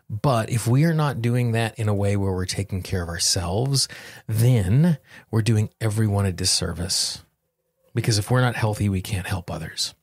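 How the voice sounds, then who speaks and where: A man hosting a self-help podcast, coaching listeners directly in measured, deliberate phrases. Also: on the low side, husky, no echo, studio quality. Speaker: podcast host and tapping coach.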